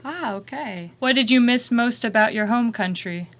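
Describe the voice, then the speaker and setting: A woman's voice: on the high side, normal speed, fairly bright tone. A woman from the United States co-hosting a conversation show, asking callers questions encouragingly. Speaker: co-host. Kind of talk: casual chat.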